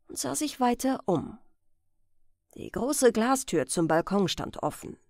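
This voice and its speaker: A woman reading a crime audiobook as narrator in an expressive, deliberate storytelling manner. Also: medium pitch, no echo, clean studio sound.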